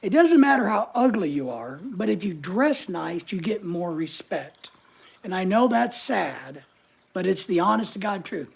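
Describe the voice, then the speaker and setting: A middle-aged man with a high voice often taken for a woman's, chatting casually and joking at his own expense while reading viewer comments. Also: very animated, measured pace, vocal fry, slightly rough. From United States. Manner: chatty, joking, self-mocking. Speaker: channel host reading live chat. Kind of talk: casual livestream chat.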